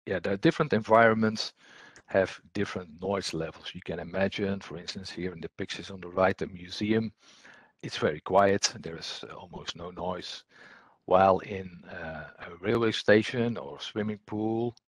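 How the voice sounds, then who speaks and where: Guy with a deep voice explaining technical stuff in a webinar, kinda halting, close dry sound. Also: breathy edge, no echo. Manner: hesitant, halting delivery. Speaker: webinar presenter, technical trainer.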